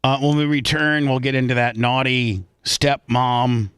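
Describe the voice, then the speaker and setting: A man's voice: mid-range voice, fairly even intonation, measured pace, a bit bright, smooth, no room sound. A man hosting a radio show, chatting casually and bantering.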